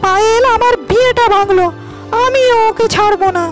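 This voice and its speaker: A woman as a very shrill haughty cartoon rich girl. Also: normal speed, music in the background. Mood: angry, vengeful. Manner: haughty, emphatic. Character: spoiled, vengeful young landlord's daughter, scheming and haughty. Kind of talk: cartoon moral-story acting.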